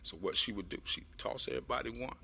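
A man with a deep voice telling his personal recovery story to a group, rambling with lots of fillers. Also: fast talker, gravelly, sharp tone, breathy edge. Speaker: speaker sharing a recovery story.

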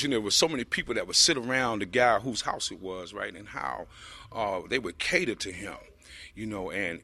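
A man with a deep voice telling his personal recovery story to a group, rambling with lots of fillers. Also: pushed and bright, slightly rough. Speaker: speaker sharing a recovery story.

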